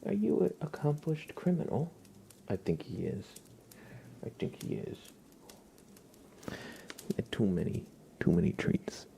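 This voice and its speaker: Man, soft ASMR livestream host, low gravelly voice, hushed and whispery, faint background noise. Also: huge pitch swings, unhurried, pauses often. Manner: gentle, hushed, drifting into whispers.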